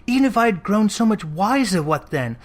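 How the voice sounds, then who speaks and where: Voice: mid-range voice, very animated, a little fast, voice pushed up, lightly acted. A narrator voicing a defensive young man, hardened by greed, who retorts impatiently in a classic storybook. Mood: defensive impatience.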